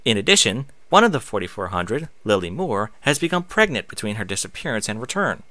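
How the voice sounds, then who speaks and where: A man's voice: on the low side, very animated, fairly quick, bright, forceful tone, no echo. A man reading aloud in a casual way, sometimes stumbling and slipping into off-script asides. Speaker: online reader and streamer.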